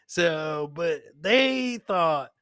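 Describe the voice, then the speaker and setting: Higher-voiced man riffing as comedy host. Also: lively intonation, extremely slow, gravelly, fairly bright tone, no echo, clean studio sound. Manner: rambling, profane, jokey riffing. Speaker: comedian hosting his own show. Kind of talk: casual live comedy commentary on clips.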